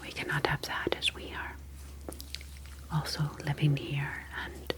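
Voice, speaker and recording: A calm, superior alien scientist from the ocean , played by a woman in an ASMR roleplay. The voice is a low, breathy whisper that stays soothing and very even. Each formal phrase is placed slowly and deliberately. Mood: grave, disapproving.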